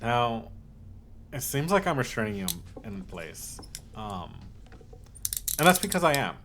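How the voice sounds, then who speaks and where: A man's voice: medium pitch, very animated, slight background noise. Man acting as a clingy, obsessive lovesick yandere. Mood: soothing, possessive. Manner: halting, cooing endearments, swings from hushed to insistent. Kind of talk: scripted ASMR roleplay monologue.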